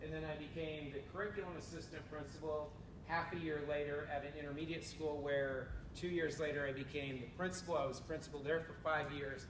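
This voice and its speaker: 40s guy from north dakota spinning a dry-humored story, fairly high voice, far mic in a roomy space. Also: steady tone, brisk. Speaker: human resources administrator. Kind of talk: telling his life story in a talk. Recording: roomy echo from far off, rumble.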